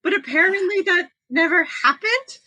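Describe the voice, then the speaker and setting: A woman co-hosting a podcast, chatting about a book in an informal, expressive way. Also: very high voice, normal speed, no room sound, low-quality recording. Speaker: podcast co-host. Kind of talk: casual book discussion chat.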